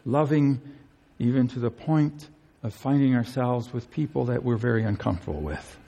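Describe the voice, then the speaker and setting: A male pastor preaching an earnest sermon, his voice climbing high to stress key phrases. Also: normal speed, vocal fry, mellow, muffled recording. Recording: long hall reverb.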